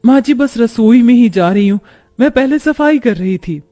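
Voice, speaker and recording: A man's voice: very high-pitched, lively intonation, moderate pace, warm tone. A man voicing a young new bride, plaintive and dutiful, in a cartoon family story. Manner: plaintive grumbling.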